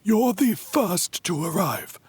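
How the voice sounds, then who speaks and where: A woman's voice: on the low side, very animated, slow pace, slightly rough, no echo, full character voice. A woman playing a formal, unflappable courier to a vampire prince in an audio drama, speaking politely and measuredly. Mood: formal, composed.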